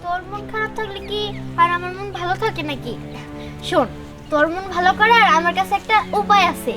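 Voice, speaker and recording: A woman's voice: extremely high pitch, fairly quick. A woman playing a chatty village child in a fairy-tale drama. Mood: coaxing. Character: chatty, cheerful village child, coaxing playmate. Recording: music behind the voice.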